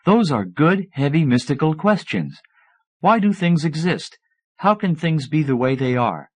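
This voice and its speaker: A man's voice: on the high side, expressive, unhurried, dry recording, voice pushed up. A man voicing an earnest, laid-back mystical woman minister, musing, in an audiobook. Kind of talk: acted audiobook dialogue.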